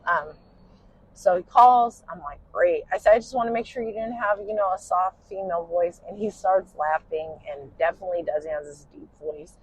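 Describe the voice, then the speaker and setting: A tired woman with a husky, smoky voice tells a personal story in casual chat while driving in a car at night. She rambles and uses lots of "you know" fillers. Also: fairly low pitch, moderate pace, slight background noise, low-quality recording. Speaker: podcast host.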